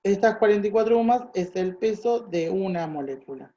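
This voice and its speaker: A woman's voice: mid-range voice, animated, normal speed, warm tone, slight background noise. A woman tutoring chemistry, patiently working through calculations step by step and thinking aloud. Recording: dull lo-fi sound.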